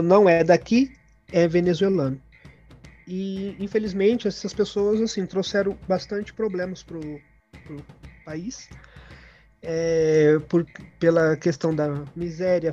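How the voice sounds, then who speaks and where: A man's voice: high voice, fairly even intonation, unhurried, warm tone. A man with a Brazilian Portuguese accent, telling personal stories in a conversational way as an interview guest. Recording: dull lo-fi audio with music behind.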